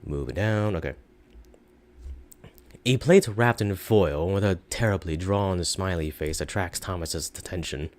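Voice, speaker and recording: A man reading a story aloud as narrator, in an expressive storybook style. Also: low-pitched, unhurried.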